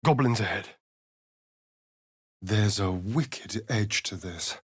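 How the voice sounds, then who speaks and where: A man's voice: on the low side, takes it slowly, slightly rough, dry recording, studio quality. A man voicing a suave, sardonic vampire rogue in a fantasy video game, with an arch, theatrical, dramatic delivery. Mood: measured, brooding.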